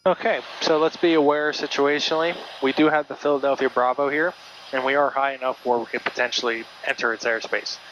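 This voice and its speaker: A man instructs a student pilot in a casual, patient way, walking through each step. His voice is mid-pitched and comes through a muffled cockpit intercom with noise underneath. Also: fairly even intonation, a little fast, fairly bright tone. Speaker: flight instructor. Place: small aircraft cockpit in flight. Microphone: aviation headset intercom. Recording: muffled intercom audio with clear cabin noise.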